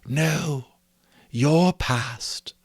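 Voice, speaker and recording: A man voicing a solemn, gentle Christmas spirit in a soft, airy, drawn-out voice for a storybook. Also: a little high, slightly rough, no echo, clean studio sound, pitched above the performer's own voice, heavily acted. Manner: slow, drawn-out, otherworldly delivery.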